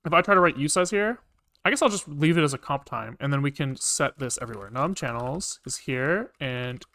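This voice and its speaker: Higher-pitched man thinking aloud while coding. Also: lively intonation, bright, forceful tone. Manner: halting, muttering to himself while working. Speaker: programming livestreamer. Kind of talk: casual live coding commentary, thinking aloud.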